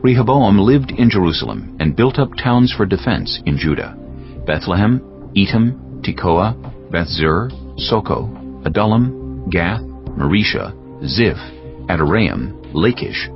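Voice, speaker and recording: A man narrating dramatized scripture as a measured storyteller. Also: low voice, vocal fry. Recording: slightly dull sound, music behind.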